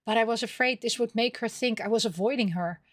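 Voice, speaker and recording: A woman's voice: on the high side, a little fast, fairly bright tone, no room sound. A woman reading a visual novel aloud as a gaming host, with casual commentary of her own.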